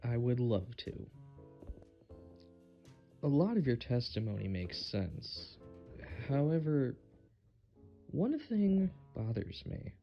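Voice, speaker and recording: A man acts a determined defense lawyer in an audio drama, recorded on a dull lo-fi mic. His voice is gravelly and swoops widely in pitch as he makes earnest, measured points. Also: sing-song, faint music behind, heavily acted. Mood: confident challenge.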